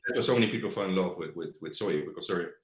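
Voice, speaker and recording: Deep-voiced Dutch man, halting English. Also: echoey room. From Netherlands. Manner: hesitant, searching for words, many fillers. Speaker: guest. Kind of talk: conversational interview.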